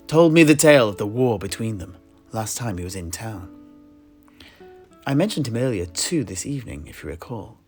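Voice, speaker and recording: A man playing a scholarly, genial tavern keeper in a fantasy audio play, telling history in a wordy, theatrical way with deliberate pauses. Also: on the low side. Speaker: narrator and host of an in-universe audio play. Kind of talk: scripted in-character fantasy storytelling. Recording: plucked guitar music behind the voice. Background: plucked guitar music.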